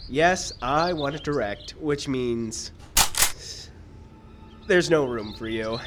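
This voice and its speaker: A man's voice: mid-range voice, expressive, slow pace, very noisy. An actor playing a terse, stubborn and greedy gunman in live old-time radio style. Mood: smug. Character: laconic armed man turning stubborn and greedy.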